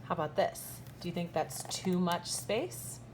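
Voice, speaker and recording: A woman kindergarten teacher reading a picture book aloud expressively, pausing to ask young listeners questions. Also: fairly low pitch, noisy background. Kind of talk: expressive children's storytime read-aloud with asides.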